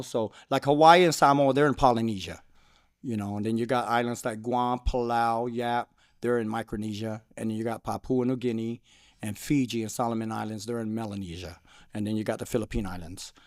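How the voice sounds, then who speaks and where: A car club founder speaks earnestly in a casual sit-down interview. He circles back over his own phrases and keeps asking whether you know what he means. His voice is mid-pitched and the room sounds dry. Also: dry recording.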